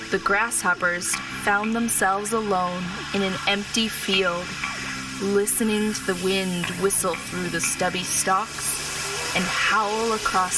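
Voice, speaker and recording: A woman narrating a children's fable in a measured, deliberate storytelling style. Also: medium pitch. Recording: music behind the voice, slightly distant.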